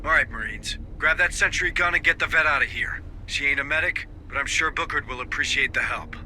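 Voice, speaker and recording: An actor playing a commanding, brusque marine sergeant in a game, speaking like someone used to giving orders. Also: male voice, fairly high pitch, brisk, pushed and bright, slight background noise, low-quality recording. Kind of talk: video game cutscene acting. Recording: game audio with music behind.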